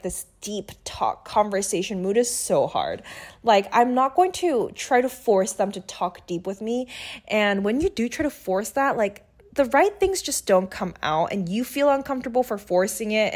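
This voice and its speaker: Candid young woman podcasting solo. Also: medium pitch. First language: Korean. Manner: casual, candid, many fillers and "like". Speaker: podcast host. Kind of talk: solo reflective podcast monologue.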